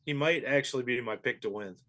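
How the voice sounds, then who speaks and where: A man's voice: mid-range voice, a little fast, a bit bright. A man, a golf professional, giving easygoing and thoughtful analysis as an interview guest. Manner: easygoing and thoughtful, with frequent fillers.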